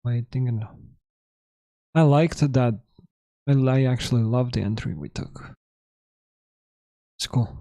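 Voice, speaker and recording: Male trading streamer, hoarse throat, dark mellow tone, dry room, muttered live commentary. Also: medium pitch, dry recording. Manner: thinking aloud, halting, self-correcting. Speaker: trading livestream host.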